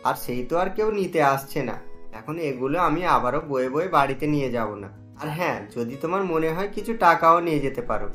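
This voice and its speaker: A man's voice: a little high, animated, brisk, pushed and bright, soft background music. A man voicing a kindly, well-off village man who speaks in a reassuring, generous way, recorded in an echoey room.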